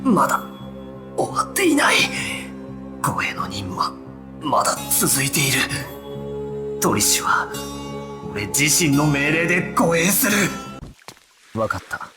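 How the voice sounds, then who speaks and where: An actor playing a resolute, stoic young gangster squad leader in a Japanese action video game, speaking with solemn, commanding authority. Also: male voice, on the high side, wildly swooping pitch, a little slow, long pauses. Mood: strained, determined. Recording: music behind the voice.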